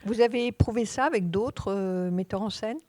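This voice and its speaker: A woman's voice: mid-range voice, normal speed, mellow, dry recording. A woman interviewing a guest on a theatre stage, asking short, probing follow-up questions.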